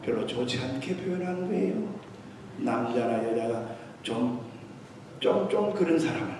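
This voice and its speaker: A man's voice: mid-range voice, animated, normal speed, a little mellow, slight background noise. A middle-aged man, a visiting pastor, telling anecdotes in a sermon and mixing in English terms. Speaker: guest revival pastor. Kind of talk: storytelling sermon. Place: church sanctuary. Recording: echoey church hall from far off.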